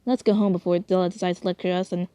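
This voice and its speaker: A woman's voice: on the low side, level tone, quick pace, mellow, clear, resonant tone, no room sound, natural voice. Woman as a blunt, hot-tempered protective brother. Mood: reassuring.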